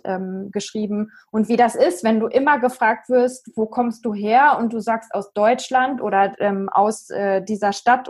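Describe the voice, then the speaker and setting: A woman co-hosting a podcast, talking through a book she read in a thoughtful, halting way with many fillers. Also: a little high, a little mellow, husky. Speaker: podcast co-host. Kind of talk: casual book chat.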